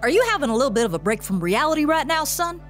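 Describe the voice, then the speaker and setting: A woman's voice: sits high, very animated, rapid-fire, faint music behind. An actress playing a tough female police chief in an audio drama, sarcastic, folksy and authoritative. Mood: sarcastic, incredulous. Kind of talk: scripted audio drama acting.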